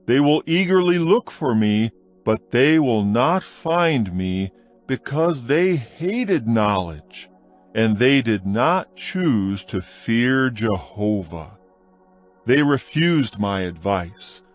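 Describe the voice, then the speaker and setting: A man reads scripture slowly in a solemn, dramatized audiobook style. His pitch moves widely as he stresses phrases with deliberate emphasis. Music plays behind his voice. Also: lively intonation. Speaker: scripture narrator.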